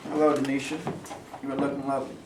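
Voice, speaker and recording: A man's voice: medium pitch, completely flat delivery, fast, recorded from a distance, heavy background noise, lightly acted. A man playing a bigoted, condescending steel mill executive in a staged reading, recorded in an echoey room with the mic some way off. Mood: smooth, evasive. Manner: blustering and condescending, turning oily.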